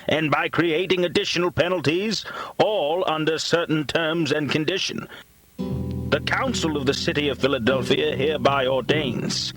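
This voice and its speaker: A man narrating in a mock-solemn documentary style, like a grave orator, with pompous and deliberate delivery. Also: medium pitch, rough recording. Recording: music behind the voice. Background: music.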